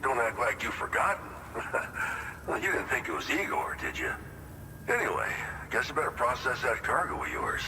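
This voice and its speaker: Teasing buddy-type warehouse guy, super animated, really noisy recording. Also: medium pitch, moderate pace. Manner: familiar, joking, colloquial. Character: chummy, teasing male depot worker, old acquaintance. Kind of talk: scripted game dialogue.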